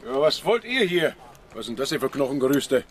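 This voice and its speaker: A man playing a gruff, sarcastic livery stable keeper in a children's radio drama, blunt and mocking. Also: mid-range voice, sing-song, a little fast, soft background music, natural voice. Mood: grumpy.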